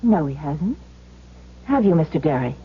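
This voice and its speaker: An actress playing a self-assured, challenging wife with pointed delivery in a 1970s radio drama. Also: female voice, deep voice, very animated, normal speed, slightly rough, full character voice. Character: cool, challenging wife of a marked man. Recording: dull lo-fi 1970s radio recording.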